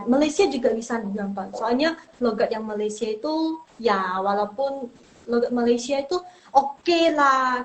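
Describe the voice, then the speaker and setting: A woman's voice: fairly high pitch, poor audio. A young woman from Indonesia teaching Mandarin in a casual live online lesson, chatty and reassuring, trailing off and repeating herself.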